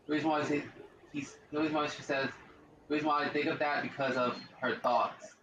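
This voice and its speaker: Deep-voiced guy streaming a game, rambly and slangy, echoey room, kinda muffled. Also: little pitch movement, brisk, a bit bright, slight background noise. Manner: rambling, repeats himself, slangy. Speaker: gaming streamer. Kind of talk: casual let's-play commentary.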